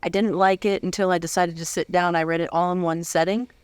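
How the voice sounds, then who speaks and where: A woman's voice: lowish voice, fairly even intonation, brisk, clear, resonant tone, dry recording. A woman interviewing a guest in a casual, chatty and affirming way. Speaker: interviewer, host.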